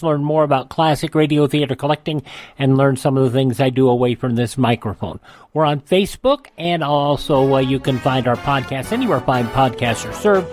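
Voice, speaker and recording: A man hosting a classic radio podcast, wrapping up with relaxed plugs. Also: on the high side. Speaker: podcast host. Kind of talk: casual closing announcement. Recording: voice over music bed.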